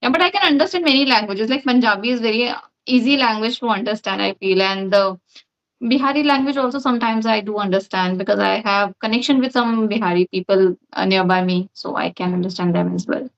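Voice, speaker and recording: A woman's voice: mid-range voice, a little fast. A woman in her thirties with an Indian accent, sharing her views earnestly in a casual chat. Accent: Indian English. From Uttar Pradesh, India. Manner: earnest, with short affirming interjections. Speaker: conversation partner. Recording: dull, lo-fi sound.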